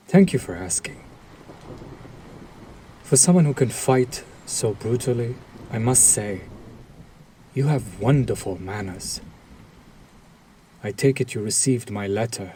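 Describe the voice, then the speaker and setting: Vampire lord male actor, audio drama: mellow, unhurried, courtly, sadistic and seductive. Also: medium pitch, animated, slight background noise, low-quality recording. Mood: courtly, admiring. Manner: courtly, seductive, slow and deliberate. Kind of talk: roleplay audio drama, addressing the listener.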